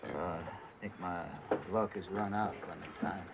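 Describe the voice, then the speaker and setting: An actor playing a hapless, idle gold miner in a folksy television western, with a halting way of talking. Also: male voice, sits low, gravelly. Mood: sheepish, dejected. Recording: noisy VHS tape transfer.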